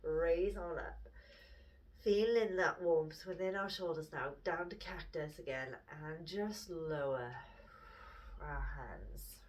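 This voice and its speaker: A woman teaching a guided yoga class, speaking in calm, gentle cues that sometimes drop to a whisper, her nose stuffy from hay fever. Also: low voice, slow pace, distant mic, slight background noise. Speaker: yoga instructor.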